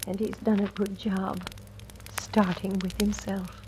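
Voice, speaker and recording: A woman's voice: medium pitch, flat delivery, takes it slowly, lightly acted. An actress playing a blunt, bitter, resigned household woman in old-time radio drama style. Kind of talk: 1940s-50s radio drama acting. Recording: old radio recording, faint noise.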